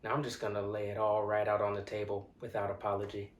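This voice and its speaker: Male actor as young man, indignant, earnest, conversational dramatic monologue, halting yet insistent, reverberant room. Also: on the low side, completely flat delivery, natural voice. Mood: indignant, forceful. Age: young adult. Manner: deliberate, hesitant pauses and repeated words, building to insistence. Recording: echoey room.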